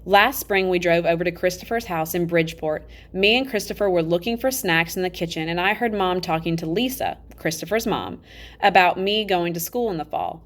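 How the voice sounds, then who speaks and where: A woman reading a children's novel aloud in an animated, emphatic storybook style, acting out the dialogue. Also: fairly low pitch, a little fast. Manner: expressive, emphatic, acts out dialogue.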